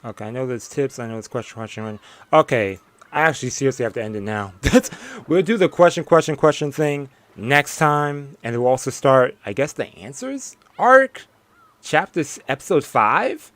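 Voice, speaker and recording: A man's voice: mid-range voice, lively intonation, moderate pace, fairly bright tone, no echo. A tired young man streaming from his bedroom, losing his voice and rambling casually with small laughs. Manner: tired, rambling, chuckling often. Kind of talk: casual stream sign-off chat.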